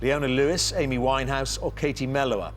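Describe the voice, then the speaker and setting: A man's voice: mid-range voice, brisk, soft background music. A man hosting a television quiz show, upbeat and teasing, reading questions and introducing teams.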